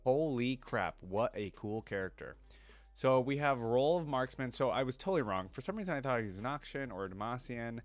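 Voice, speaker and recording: A man's voice: mid-range voice, expressive, a little fast, no echo. A man hosting a reaction video, commenting casually and reading text aloud as he goes. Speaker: host of a reaction channel.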